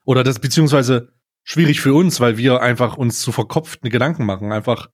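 A man's voice: mid-range voice, fairly quick. A man hosting a casual comedic podcast, talking in an informal, jokey, slangy way. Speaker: podcast host.

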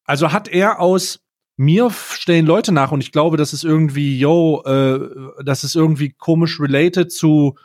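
A man with a slightly high-pitched voice chats as a podcast co-host. He rambles through stories in a casual, mocking, comedic way. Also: normal speed, darkish tone.

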